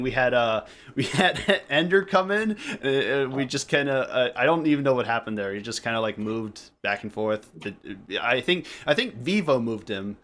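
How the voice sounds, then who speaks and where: Male host, casual and joking, rambling delivery full of self-interruptions, mid pitch. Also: a bit bright. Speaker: podcast host. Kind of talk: casual group chat.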